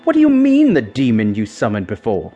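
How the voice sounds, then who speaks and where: A proper Edwardian gentleman sidekick in a radio play. His slightly husky voice swings animatedly in pitch, polite yet incredulous, with faint music at times. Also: huge pitch swings, brisk, lo-fi sound. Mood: flustered, proper. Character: proper, inquisitive Edwardian gentleman, the investigator's loyal colleague. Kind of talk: radio drama acting and narration.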